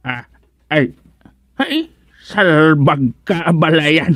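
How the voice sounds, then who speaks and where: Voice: mid-range voice, big pitch swings, extremely slow, a bit bright, dry recording, studio quality, exaggerated voice. A performer playing a playful, giggling village in-law in an Ilocano radio comedy. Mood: giggly. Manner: giggly, exclaims often. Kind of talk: Ilocano radio drama acting.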